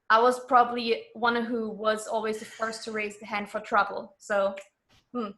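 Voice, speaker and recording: Higher-voiced woman from Germany, chatting candidly. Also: flat delivery, a little slow, fairly bright tone. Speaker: interview guest. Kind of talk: casual interview chat.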